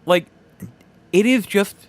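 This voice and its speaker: A man hosting a solo podcast, giving casual commentary in a halting, rambling way and repeating himself for emphasis. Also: sits high. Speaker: podcast host.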